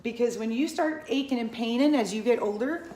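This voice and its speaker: A woman's voice: fairly high pitch, a little fast, dark tone, echoey room, rough recording. A woman of about fifty, working as a Pilates instructor, talks to the camera in a chatty, rambling way, often restarting herself and going off on asides. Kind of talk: casual talking-to-camera vlog.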